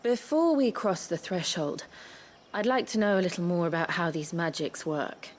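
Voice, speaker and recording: Low, composed young woman adventurer, actress. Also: moderate pace, slight background noise, lightly acted. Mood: cautious. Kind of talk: video game cutscene acting.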